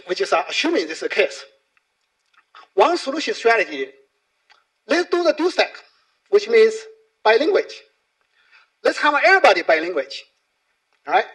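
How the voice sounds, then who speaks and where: Male conference speaker, middle-aged, native Chinese with accented English; high voice, very lively intonation, informal explaining. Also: rough recording. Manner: non-native English with frequent tag questions.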